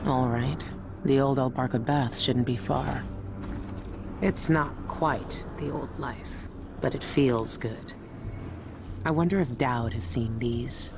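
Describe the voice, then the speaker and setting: An actress playing a weary, resolute assassin who muses to herself in a wry, self-possessed way in a video game. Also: female voice, rumbling low, slow, gravelly, over music, exaggerated voice. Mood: quietly wry. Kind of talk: video game cutscene monologue.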